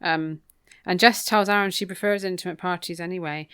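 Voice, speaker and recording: A woman's voice: on the low side, steady tone, brisk, a bit bright, clear, resonant tone. A British woman co-hosting a podcast, casually recapping a book in an informal, gossipy, wry way. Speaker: podcast co-host.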